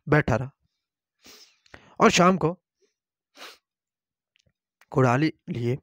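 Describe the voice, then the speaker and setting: A male storyteller narrates a moral folk tale in a fairly high voice. He goes very slowly, breaking sentences into short measured phrases. The sound is dry, with no room echo. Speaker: storyteller and channel host.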